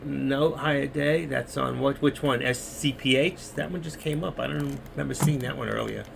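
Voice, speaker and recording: A man's voice: medium pitch, moderate pace, gravelly, background noise. A middle-aged man moderating a trading live stream, rambling casually to viewers while losing his voice. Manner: rambling, chatty, talking to chat viewers.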